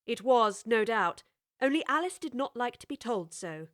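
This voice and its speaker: A woman narrating a storybook with clear, expressive scripted storytelling. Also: a little high, normal speed, dry recording, studio quality.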